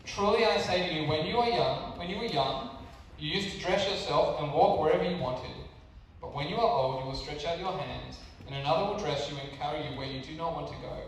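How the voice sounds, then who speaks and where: A high-pitched man gives an informal youth group talk in a conversational way. The sound is heavily echoey and distant from the mic, with faint noise behind it. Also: a little fast. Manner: conversational, addressing the group with questions.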